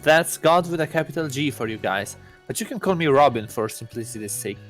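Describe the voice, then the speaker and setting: Smug deity in student disguise, male reader: pompous, theatrical, awkward visual-novel hero, medium pitch. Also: animated, brisk, faint music behind, close to an everyday voice. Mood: smug, grandiose. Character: smug self-proclaimed god posing as awkward teenage student.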